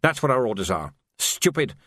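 A man's voice: medium pitch, big pitch swings, normal speed, fairly bright tone, no echo, clean studio sound. A man voicing a tired, resigned male army major who sighs through his words. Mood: weary. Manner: tired, sighing.

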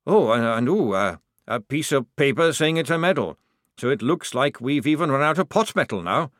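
A man voicing a gruff, sardonic old army sergeant in an audiobook, with a barking military manner. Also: mid-range voice, lively intonation, normal speed, a bit bright, dry recording, clean studio sound, voice pushed up, close to an everyday voice. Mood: sardonic shock. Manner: barking, sarcastic military speech.

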